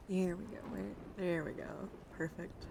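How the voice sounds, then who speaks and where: A woman hosting an ASMR livestream, talking softly and casually and often slipping into a whisper. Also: lowish voice, fairly even intonation, moderate pace. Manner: soft-spoken, often whispering, repeats soothing words. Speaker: livestream host. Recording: clearly noisy home microphone.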